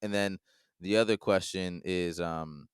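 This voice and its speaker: A man's voice: low-pitched, fairly even intonation, a little slow, clean tone, dry recording, clean studio sound. A man hosting a podcast, chatting casually and rambling through his questions. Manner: rambling, full of "like" and restarts. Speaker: podcast host.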